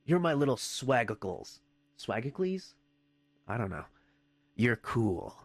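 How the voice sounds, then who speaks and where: A man playing a wry, bossy headquarters dispatcher in a video game, giving deadpan official orders with goofy, sarcastic asides. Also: mid-range voice, slow, creaky, no echo, exaggerated voice. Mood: playful, flattering. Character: wry, bossy, easily exasperated male headquarters dispatcher sending prisoners on missions. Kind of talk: video game mission briefing voice lines.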